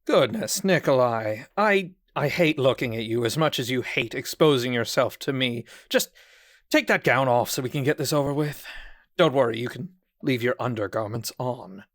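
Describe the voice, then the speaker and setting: A man's voice: medium pitch, animated, moderate pace, no echo, lightly acted. A man playing a condescending, falsely cheerful psychiatrist in a horror audio drama, with a smug, mock-polite manner. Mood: brisk, exasperated. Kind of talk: theatrical audio drama acting.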